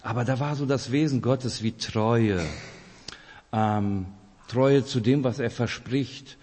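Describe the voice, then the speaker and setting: A man's voice: medium pitch, slow pace, a little mellow, a little hiss or hum. A man in his forties from Kazakhstan with a Russian-German accent and a rolled R, giving a friendly, chatty informal sermon as a lay preacher. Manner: friendly, chatty, addresses listeners directly. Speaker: lay preacher at a church weekend. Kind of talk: informal sermon with personal introduction. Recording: reverberant hall.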